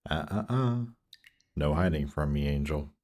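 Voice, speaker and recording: A man playing a seductive demon prince in an intimate roleplay audio drama, teasing and coaxing in an unhurried way. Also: a bass voice, expressive, a little mellow.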